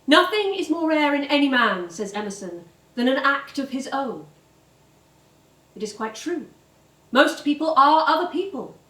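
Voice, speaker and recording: A grave, reflective reciter, speaking literary prose in a measured theatrical way. Her voice is very high for a woman, and it echoes in a room, some way from the mic. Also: sing-song, pushed and bright, exaggerated voice. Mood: solemn, reflective. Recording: echoey, distant stage recording.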